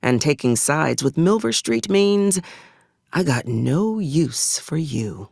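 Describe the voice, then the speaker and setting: Game casting: haughty, bloodthirsty female frontier officer, folksy ornate phrasing, swooping pitch, dry close recording. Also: big pitch swings, slow, creaky, darkish tone, no room sound, full character voice. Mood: scornful, curt. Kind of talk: video game character dialogue.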